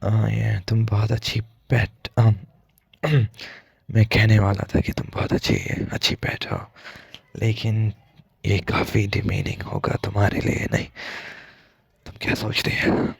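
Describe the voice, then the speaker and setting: A man playing a tender, hesitant young mafia heir in an intimate ASMR boyfriend roleplay, soft-spoken and halting, with a husky, breathy voice. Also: lowish voice, dry recording, exaggerated voice.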